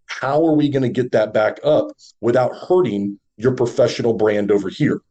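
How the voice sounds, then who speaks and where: A man with a deep, warm voice talks as a podcast guest. He coaches listeners confidently, leaning on rhetorical questions as he lays out his advice. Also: moderate pace. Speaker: podcast guest, brand and media speaker. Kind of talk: motivational podcast talk.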